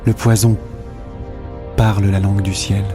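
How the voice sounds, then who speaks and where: A man acting as the grave narrator of an audio drama, reading literary prose in a measured way. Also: fairly low pitch, flat delivery, close to an everyday voice. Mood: ominous, detached. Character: grave omniscient male narrator. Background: music.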